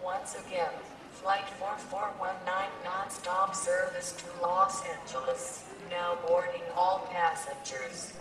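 A woman playing an impersonal airport gate announcer, making formal, deliberate public-address announcements in an audio drama. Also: lowish voice, fairly even intonation. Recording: echoey distant PA, airport crowd.

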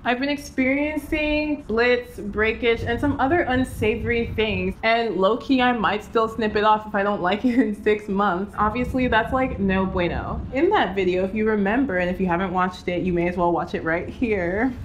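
A young woman in her twenties with a Nigerian accent, chatting about hair care in a lively, playful vlog with slangy asides. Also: mid-range voice, normal speed, few pauses, slight background noise. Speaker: natural hair vlogger. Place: indoor home studio.

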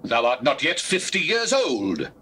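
An actor playing a scornful, challenging Pharisee interrogator in declamatory Bible drama. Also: male voice, a little high, huge pitch swings, measured pace, pushed and bright, heavily acted. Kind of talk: dramatized scripture reading, stage-like acting. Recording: noisy old cassette tape recording.

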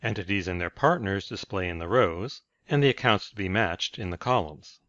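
Casting: male tutorial narrator, low-pitched, measured delivery, very dry and slightly muffled recording. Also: lively intonation, fairly bright tone, dry recording. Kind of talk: scripted software tutorial narration.

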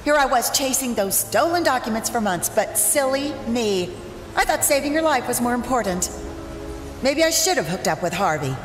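A proud, mocking female cat burglar, acted by a woman for an audio drama. Her fairly high voice swoops theatrically with cool scorn. It echoes, with faint music underneath. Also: animated, normal speed, natural voice. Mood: bitter, mocking. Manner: sardonic, theatrical. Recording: echoey, reverberant hall sound.

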